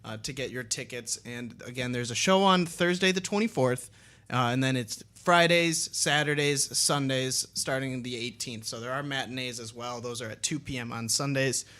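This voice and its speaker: Bright-voiced chatty radio host. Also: male voice, medium pitch, normal speed. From Milwaukee area, Wisconsin. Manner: chatty, rambling with many "like" fillers. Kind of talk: casual radio interview.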